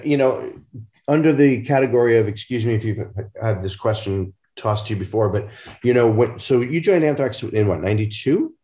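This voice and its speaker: A man hosting a casual interview, asking halting, conversational questions. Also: mid-range voice, expressive, warm tone. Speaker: interview host. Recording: roomy echo.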